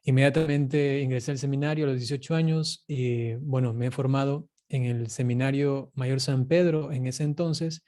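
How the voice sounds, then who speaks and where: Man, Ecuadorian accent, warm dark relaxed tone, mid-range pitch, earnest, measured, reflective priest in interview.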